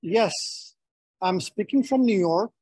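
A middle-aged man from Nepal with a Nepali accent gives a prepared webinar talk in a measured, phrase-by-phrase delivery. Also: high voice, darkish tone, dry recording, clean studio sound. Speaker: webinar speaker.

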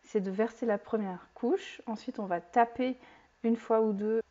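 A woman in her forties explaining soap making step by step in a home kitchen, with a clear, calm teaching manner and a few fillers. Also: fairly high pitch, unhurried. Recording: slightly dull lo-fi sound.

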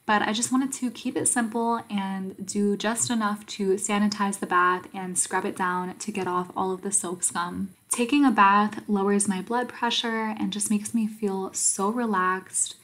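Young woman doing a chill vlog voiceover, soft and calm, walks thru each step. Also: medium pitch, measured pace, few pauses. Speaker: vlogger. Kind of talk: scripted voice-over narration.

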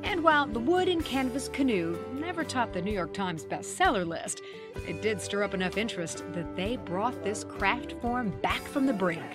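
A woman's voice: mid-range voice, animated, moderate pace. A woman reading scripted narration with a wry storytelling touch. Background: background music.

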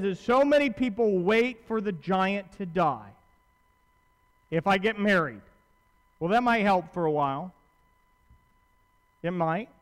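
A man preaches from a pulpit in a large auditorium, his voice pitched high and carrying long hall reverb. He talks to his listeners directly and conversationally, driving points home with repetition and rhetorical questions. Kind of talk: sermon.

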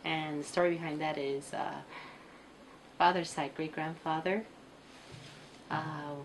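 Young woman, Cantonese first language, low voice, laid-back rambling storyteller, light room hum. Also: steady tone, slow. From United States. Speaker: interview guest. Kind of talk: casual chat. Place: small indoor room with computers.

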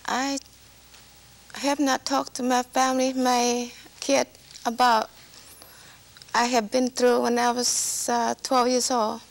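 Gravelly, halting woman from Vietnam. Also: fairly high pitch, flat delivery. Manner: halting non-native English with broken grammar. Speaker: interview guest. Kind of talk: personal life story in conversation. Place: small TV studio set.

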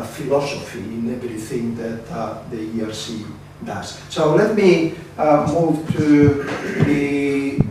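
Slow, halting Spanish-accented man presenting. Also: on the high side. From Spain. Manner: halting, hesitant delivery with many pauses. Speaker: academic speaker, research council member. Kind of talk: seated conference presentation. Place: seminar room, indoors. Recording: very echoey room, distant mic, faint noise.

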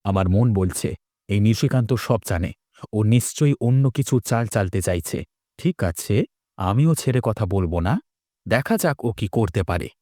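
A man's voice: lowish voice, expressive, normal speed, warm tone, no room sound, studio quality, natural voice. A man voicing an anxious, suspicious village man in a cartoon, speaking earnestly and broodingly. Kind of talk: dubbed cartoon dialogue.

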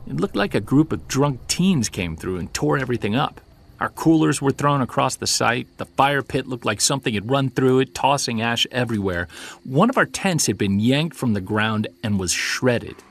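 A man's voice: mid-range voice, expressive, normal speed, vocal fry. A man acting as a witness who recounts an unsettling childhood memory in a hesitant, conversational audio drama style. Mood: uneasy, reflective. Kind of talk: naturalistic audio drama storytelling.

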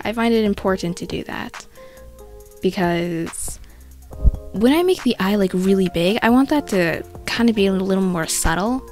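A young woman with a stuffy, congested voice, talking casually through an art tutorial voice-over with self-mocking asides. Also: mid-range voice. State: congested from a cold.

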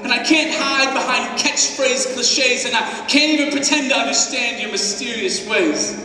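A young man in his twenties performing rhyming spoken word poetry on a dark theatre stage, moving from hushed lines to impassioned ones. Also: very high voice, normal speed. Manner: rhythmic rhyming delivery, rising from hushed to impassioned. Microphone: headset mic. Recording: echoey hall from far off with loud music bed.

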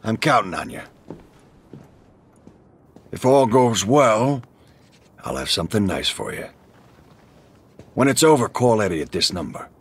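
An actor playing an older male mob boss who speaks in a calm, authoritative, measured way. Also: on the low side, slightly rough. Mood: commanding. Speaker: crime boss.

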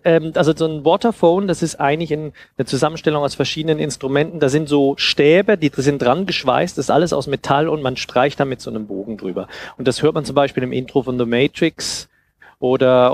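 A man's voice: mid-range voice, fast talker, vocal fry. A man in his thirties speaking German in an informal, explanatory lecture style, conversational, on a headset mic. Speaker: festival speaker, sound designer. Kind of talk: informal lecture with audience questions. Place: indoor festival stage with couch.

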